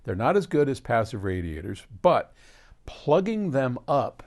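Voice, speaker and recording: A man's voice: medium pitch, measured pace. An older American man hosting a casual question-and-answer talk, rambling through explanations and anecdotes with frequent digressions. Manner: animated, digressive storyteller who quotes conversations. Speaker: host answering audience questions.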